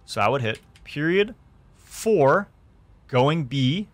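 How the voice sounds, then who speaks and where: A man's voice: a little high, big pitch swings, slow pace, no room sound. Young man giving a step-by-step gaming tutorial. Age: young adult. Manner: clear step-by-step instructing. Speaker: gaming streamer and host. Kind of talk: tutorial narration. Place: small indoor room streaming setup.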